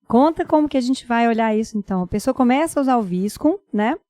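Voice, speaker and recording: Woman host, rapid and chatty, fairly high voice, dry sound. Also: expressive, dark tone, no room sound. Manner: brisk, chatty, tags questions with "né?". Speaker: podcast host, doctor. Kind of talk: conversational podcast talk.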